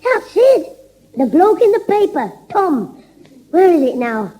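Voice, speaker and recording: A man's voice: very high-pitched, very animated, takes it slowly, mellow, full character voice. A man playing a cheeky, mischievous little boy in a 1960s radio sitcom. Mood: scheming. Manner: cheeky, teasing. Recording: noisy old radio broadcast.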